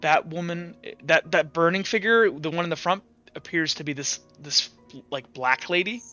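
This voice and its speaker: Bright, higher-voiced man narrating a tabletop game. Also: normal speed. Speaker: game master. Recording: dull lo-fi audio with background music.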